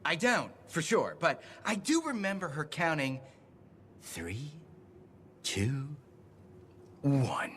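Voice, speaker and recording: A man's voice: on the high side, big pitch swings, slow, long pauses, a bit bright, a little hiss or hum, heavily acted. An actor playing a clueless, over-friendly, shameless man in a video game, rambling casually and sure of himself. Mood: puzzled, chummy. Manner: rambling, casual, self-assured. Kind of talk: video game character dialogue.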